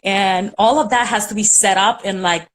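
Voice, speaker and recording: A young woman from Puerto Rico speaks English with a Puerto Rican accent, Spanish being her first language. She chats over a video call at a mid-range pitch, rambling and filling her sentences with fillers. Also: steady tone, normal speed, a little hiss or hum. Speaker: caller on a live stream. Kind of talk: casual chat.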